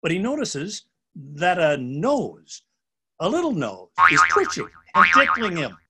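A man reads a children's story with playful expression. His voice is slightly high and husky, and it swings widely in pitch as he tells the tale. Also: huge pitch swings, unhurried, pushed and bright, soft background music. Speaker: storybook narrator. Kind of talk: animated scripted children's storytelling.

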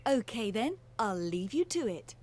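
Lively, patient mummy pig. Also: female voice, medium pitch, unhurried. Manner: gentle, patient, motherly. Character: kind, patient cartoon mother pig. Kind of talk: children's cartoon game acting.

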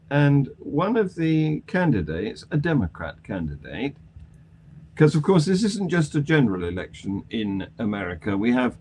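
A man hosting a news discussion on a video call, with a halting delivery. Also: mid-range voice, darkish tone, a little hiss or hum. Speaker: panel show host. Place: indoor home room on a video call.

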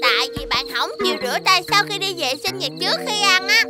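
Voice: lively intonation, fast. A performer voicing a helpful, sensible young bear cub in a children's toy cartoon, childlike and instructive. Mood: scolding. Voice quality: childlike, high. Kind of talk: children's toy animation dialogue. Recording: music under the voice.

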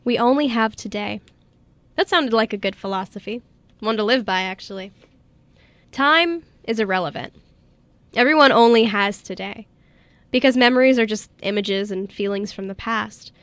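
A woman's voice: mid-range voice, moderate pace, a bit bright, dry recording. A woman on a radio show, reading story narration and chatting casually with the others.

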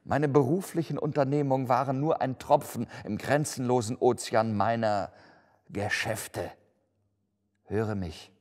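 A man's voice: medium pitch, unhurried, darkish tone, voice pushed up, lightly acted. A man voicing a stern, doomed male ghost in chains for a storybook, solemn, declamatory and lamenting. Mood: anguished. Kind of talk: dramatic storybook reading. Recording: long hall-like reverb.

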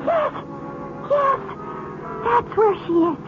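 A woman's voice: extremely high pitch, expressive, takes it slowly, loud music behind the voice, full character voice. An actress playing a sly, manipulative sixteen-year-old girl medium in a theatrical 1970s radio drama style. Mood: frightened trance. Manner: taunting, theatrical. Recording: noisy, muffled 1970s radio broadcast.

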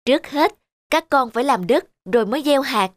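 A woman voicing a patient, firm mother rabbit in a children's cartoon, speaking in a measured, instructive way. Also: high voice, lively intonation, no room sound, studio quality. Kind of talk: animated children's cartoon voice acting. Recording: music behind the voice.

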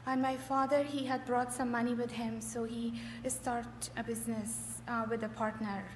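A middle-aged woman from Afghanistan giving a personal testimony in short phrases, hesitant and often pausing. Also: on the high side, level tone. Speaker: church member giving testimony. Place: large echoey hall. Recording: echoey hall with faint noise.

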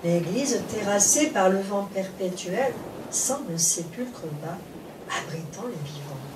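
A woman reciting epic poetry in a solemn, measured declamation, phrase by phrase. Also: medium pitch, expressive. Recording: roomy, distant microphone, clear background noise.

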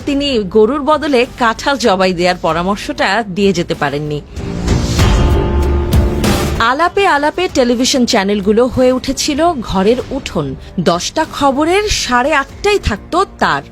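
Casting: female Bangladeshi narrator, high voice with animated swings, sardonic scripted satire over music.

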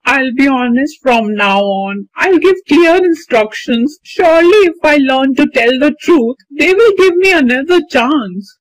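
Woman as a cartoon schoolboy, high voice, lively pitch, whiny, easily exasperated, dry sound. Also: unhurried, hardly any pauses, no echo, low-quality recording. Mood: remorseful. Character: exasperated young schoolboy, whiny and excuse-making.

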